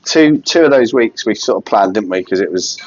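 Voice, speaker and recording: A man co-hosting a pub podcast, meandering through football anecdotes with dry asides and many restarts. His voice sits at a middle pitch. The recording is muffled and lo-fi. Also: expressive, brisk, a little hiss or hum. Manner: rambling, self-correcting storyteller, dry. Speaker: podcast co-host. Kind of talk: casual football chat. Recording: dull lo-fi sound.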